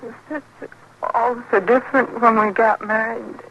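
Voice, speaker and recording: An actress playing a worn-down, frightened frontier wife, halting and rustic, with an American accent in 1950s radio western style. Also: female voice, fairly high pitch, fairly even intonation, fairly bright tone, dull audio. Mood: wistful, sorrowful. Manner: halting, stammering, rustic speech. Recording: noisy old radio drama recording.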